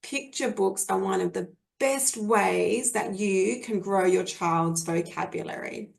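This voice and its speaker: Woman, australian accent, webinar presenter, gravelly creaky voice, friendly explaining, video call mic. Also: mid-range voice, measured pace. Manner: friendly, explanatory, with frequent fillers and restarts. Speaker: early literacy presenter.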